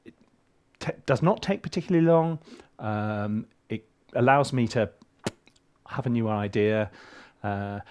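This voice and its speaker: A man giving a casual video tutorial as a composer and tutor, with a playful, rambling and animated way of talking. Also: on the low side, a little slow, long pauses, slight background noise. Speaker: film composer and music tutor.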